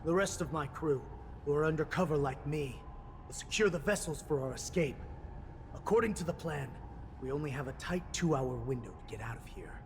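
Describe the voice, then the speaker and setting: A man's voice: on the high side, fairly quick, slight background noise, lightly acted. A man voicing a cunning, humble beast counselor in disguise in a video game, speaking with formal, theatrical deference. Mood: earnest, scheming. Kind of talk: dramatic video game voice acting. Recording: game audio with music behind.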